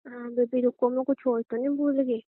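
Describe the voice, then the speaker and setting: A young woman with a high, sweet voice coaxes her boyfriend over a tinny phone line, quickly turning huffy when teased. The sound is dry and close, with no room around it. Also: level tone, no echo. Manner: coaxing, sweet-talking, then indignant. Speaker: girlfriend on a phone call. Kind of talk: playful scripted phone banter. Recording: phone call recording.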